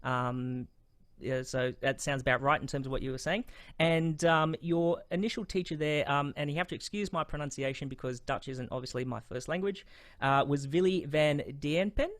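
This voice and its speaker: A man's voice: on the high side, normal speed, fairly bright tone, no room sound. A man hosting an interview over a video call, upbeat and friendly, with many fillers. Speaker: host.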